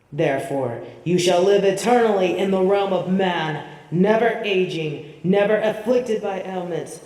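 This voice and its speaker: A woman's voice: on the low side, a little mellow, lightly acted. A woman playing a proud, imperious angel in a fantasy audio drama, with formal, solemn and haughty diction. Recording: roomy echo, distant from the mic.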